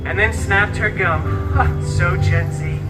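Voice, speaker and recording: Man playing a high-pitched wisecracking antihero storyteller. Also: slow pace. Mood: mock dramatic, sarcastic. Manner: sarcastic, camp, exaggerated, breaking off into asides. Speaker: theme park live show performer. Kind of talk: theatrical comic bedtime storytelling to an audience. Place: outdoor theme park show, amplified, filmed from the audience. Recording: loud music and crowd, distant outdoor amplified sound.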